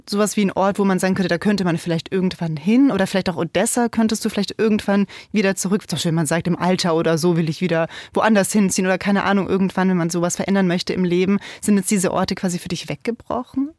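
A woman interviewer asking measured, probing questions on a close mic in a dry room. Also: on the low side, fairly even intonation, a little mellow, no room sound.

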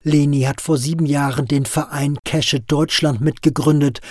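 A man narrating a radio news feature in a measured, scripted reporting style. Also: on the high side, dry recording, studio quality. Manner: measured, even reporting delivery.

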